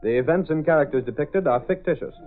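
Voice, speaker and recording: A man's voice: a little high, lively intonation, fast. A man announcing an old-time radio serial in a formal, dramatic scripted style. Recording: tinny old radio recording. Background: brass music.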